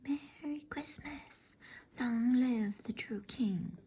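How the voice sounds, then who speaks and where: A woman voicing a grave, kindly old male gift-giver in a breathy whisper for a storybook reading. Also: on the high side, slow pace, dark tone, voice pushed up, exaggerated voice. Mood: jubilant.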